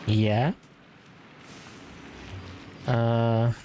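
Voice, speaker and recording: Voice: mid-range voice, flat delivery, extremely slow, dark tone, a little hiss or hum, rough recording. A gamer doing casual commentary on their own playthrough, tentatively muttering and thinking aloud. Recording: game music under the voice. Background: video game music.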